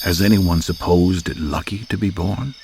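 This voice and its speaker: A man reciting poetry dramatically as a voice artist, with measured, declamatory delivery and deliberate pauses between phrases. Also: sits low, background noise. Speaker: voice artist reading poetry aloud. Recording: music and nature soundscape behind voice. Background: music, chirping insect sounds.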